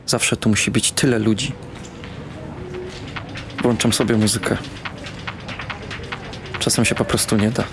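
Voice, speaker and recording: An actor playing a bitter, brooding young man in an audio drama, narrating and speaking in a weary, terse deadpan. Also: fairly low pitch, measured pace, pauses often, background noise. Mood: weary, struggling. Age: young adult. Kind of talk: audio drama first-person narration and dialogue.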